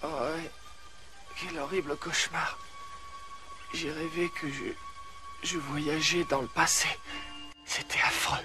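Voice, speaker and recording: A performer playing a dazed, flustered young man in an amateur film dub, hushed and stammering. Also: medium pitch, steady tone, slow pace, long pauses, over music, lightly acted. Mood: groggy. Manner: hesitant, stammering.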